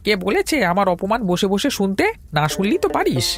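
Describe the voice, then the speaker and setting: A man's voice: sits high, huge pitch swings, brisk, faint music behind, heavily acted. A man playing a grumpy, sarcastic village tea stall keeper in an audio drama, scolding in an exasperated way. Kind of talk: Bengali audio story drama acting.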